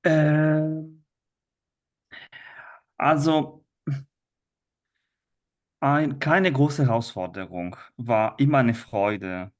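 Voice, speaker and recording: A man's voice: mid-range voice, fairly even intonation, drawn-out words, long pauses. A man from Italy in his thirties with an Italian accent, a teacher talking as a guest over a video call, hesitant with many fillers and restarts. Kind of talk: conversational interview.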